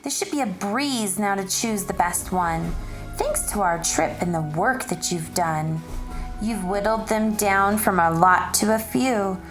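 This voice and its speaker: A woman reads aloud for young listeners at a slow pace. Her delivery is expressive and deliberate, like a teacher guiding children through a storybook. Music plays behind her voice. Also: mid-range voice.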